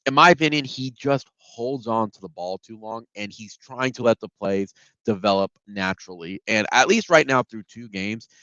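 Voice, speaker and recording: A man's voice: lowish voice, moderate pace, pushed and bright, no echo, dull audio. Stuffed-up man recapping a game. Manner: conversational, many ums and restarts. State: stuffed up from a head cold. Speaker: sports podcast host. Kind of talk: casual solo game recap.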